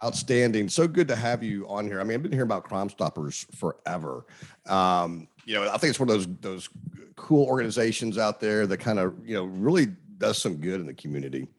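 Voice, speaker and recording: Lively, low-voiced male podcast host. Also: brisk. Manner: relaxed and upbeat, asking short friendly questions. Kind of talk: casual interview chat.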